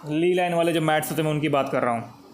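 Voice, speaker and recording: A man's voice: on the high side, racing, a little hiss or hum. A man with an Indian accent chatting casually and grumbling as a game streamer, switching between two languages. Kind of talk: casual chat over live gameplay.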